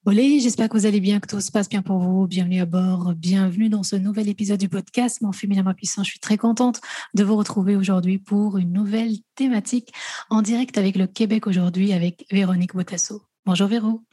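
A woman's voice: mid-range voice, steady tone, a little fast, dry recording. A woman hosting an interview podcast, welcoming her guest and often hesitating with fillers as she talks. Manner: warm welcoming, many hesitations. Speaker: podcast host.

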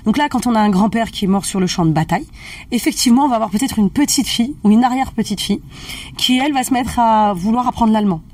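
A woman's voice: a little high, fast talker, darkish tone, far from the mic. A woman practitioner explaining family constellations in casual conversation. She checks in often with her listener. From family of Algerian roots.

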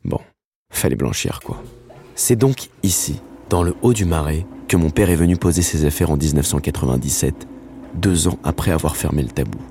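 A man, narrating his own story from a script in a wry, slangy way with dramatic pauses. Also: a bass voice, animated, vocal fry, mellow, a little hiss or hum. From Paris, France. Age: 30s. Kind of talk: scripted first-person narration.

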